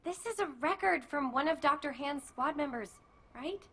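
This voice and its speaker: An actress voicing an eager, upbeat, energetic young female scout in a video game, with a bubbly manner. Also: sits high, moderate pace. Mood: helpful, upbeat.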